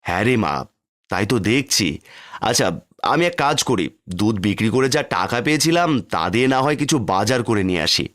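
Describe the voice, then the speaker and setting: A man's voice: medium pitch, lively intonation, fairly quick, a bit bright, close to an everyday voice. A man voicing a poor, mild village husband and father in a cartoon fairy tale, placating and self-pitying. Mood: resigned, soothing.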